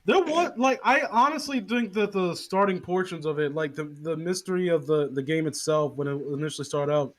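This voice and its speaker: Sweary guy rambling on a podcast, kinda high voice, sarcastic. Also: lively intonation, fairly quick, hardly any pauses. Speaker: podcast co-host. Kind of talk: casual chat with friends.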